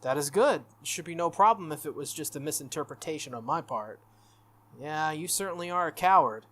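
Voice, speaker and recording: A game player voicing a composed, solemn young woman knight from a visual novel with formal, measured, blunt courtesy. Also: mid-range voice, expressive, fairly bright tone, natural voice.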